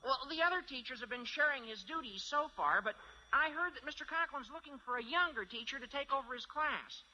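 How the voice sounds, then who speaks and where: A squeaky teenage boy in an old radio comedy, lovestruck and grandly wordy. The voice is very high and piercingly bright, on a dull, noisy recording. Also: fairly quick, full character voice. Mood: earnest. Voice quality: squeaky, adolescent. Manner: florid, mock-grandiose, earnest. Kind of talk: 1950s radio sitcom acting.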